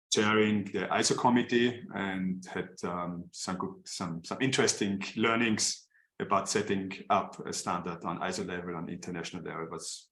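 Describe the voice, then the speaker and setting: A man with an Austrian accent introducing himself as chair on a video call, speaking in a halting way with many fillers. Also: sits low, fairly bright tone, echoey room. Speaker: chair of the association.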